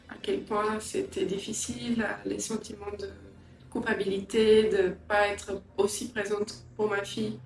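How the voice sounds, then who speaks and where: A middle-aged woman from Angola and England giving a personal interview, reflective and hesitant, often pausing mid-sentence. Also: medium pitch, darkish tone. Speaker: interviewee, entrepreneur and language teacher. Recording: roomy echo, distant mic, faint music.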